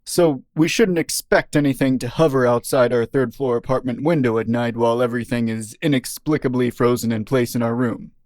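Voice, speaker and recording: A man's voice: medium pitch, fairly even intonation, a little fast, hardly any pauses, darkish tone, no echo, lightly acted. News reporter, audio drama, male actor: probing, polished, middle register, recorded very dry. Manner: polished, pointed questioning.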